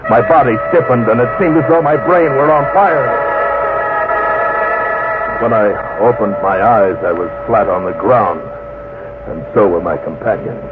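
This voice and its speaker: An actor playing an earnest, proud professor who narrates an old-time radio drama in a deliberate, declamatory way. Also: male voice, mid-range voice, lightly acted. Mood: tense recounting. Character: proud, earnest male professor leading a scientific expedition. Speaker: narrator and lead character. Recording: tinny vintage radio recording with music.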